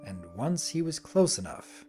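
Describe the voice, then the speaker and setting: A man narrating a fantasy story in a deliberate, theatrical dramatic reading. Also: fairly high pitch, big pitch swings, mellow, soft background music.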